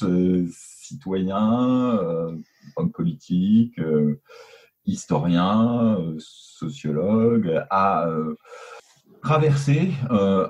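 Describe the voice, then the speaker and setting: A man giving spontaneous expert commentary as an interviewed historian, halting often as he searches for words. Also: low-pitched, dark tone, lo-fi sound.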